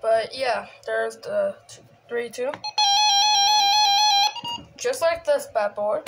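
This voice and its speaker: A woman casually showing off a hobby collection, halting and trailing off, recorded on a handheld phone indoors. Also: a little high, loud music behind the voice. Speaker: video host showing a personal radio collection. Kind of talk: casual hobby show-and-tell. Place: small cluttered room indoors. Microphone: handheld phone camera. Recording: clicky, noisy handheld home recording.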